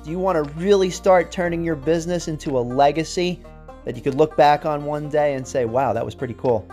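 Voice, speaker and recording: A man's voice: a little fast, warm tone. A male announcer reading a scripted promo intro full of rhetorical questions. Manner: rhetorical, pitchy. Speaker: show announcer. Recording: faint music behind.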